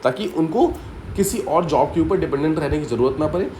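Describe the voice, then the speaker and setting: A man's voice: on the high side, brisk, warm tone, slight background noise, low-quality recording. A man teaching exam students by telling a story, explaining step by step and often repeating key points with short English asides. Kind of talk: story-based mnemonic lesson.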